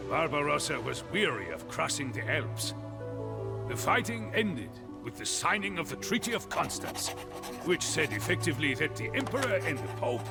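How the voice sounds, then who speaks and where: Gravelly old storyteller, animated delivery. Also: male voice, fairly high pitch. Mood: grave storytelling. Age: older. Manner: theatrical, measured, emphatic phrasing. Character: old man, dramatic storyteller of a medieval emperor's rise and fall. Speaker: narrator. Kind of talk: scripted dramatic storytelling narration for a game cutscene. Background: loud music.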